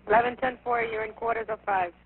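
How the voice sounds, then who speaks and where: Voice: mid-range voice, fairly even intonation, fast talker, a crowd in the background. A fire dispatcher talking procedurally over a two-way radio, clipped and repeating messages back. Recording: tinny, noisy fire dispatch radio recording.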